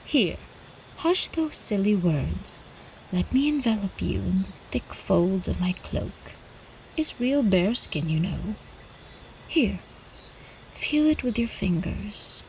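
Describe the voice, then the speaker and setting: A woman playing a predatory older vampire countess in an ASMR roleplay, haughty, teasing and possessive, with breathy drawling speech that often drops into whispers. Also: mid-range voice, expressive, takes it slowly, darkish tone, slight background noise, poor audio. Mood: haughty, amused. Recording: faint night insect ambience behind.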